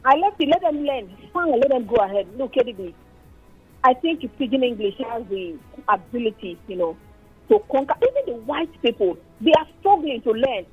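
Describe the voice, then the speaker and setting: Brief: Nigerian woman radio caller, high voice, storytelling, dull lo-fi line. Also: very animated, normal speed, breathy edge, soft background music. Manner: chatty, storytelling, exclaims. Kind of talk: casual phone-in chat.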